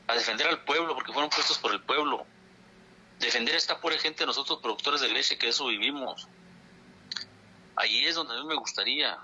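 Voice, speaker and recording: A man's voice: medium pitch, bright, forceful tone, husky. A man from Chihuahua, a dairy farmers' leader, speaking in a phone interview in an indignant, insistent way, repeating his points for emphasis. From Chihuahua, Mexico. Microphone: telephone. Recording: phone line.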